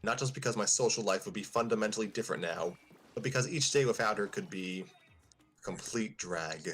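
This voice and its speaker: A man's voice: on the low side, expressive, a little fast, a bit bright, faint music behind. A man hosting a casual group podcast, chatting and reading fan fiction aloud.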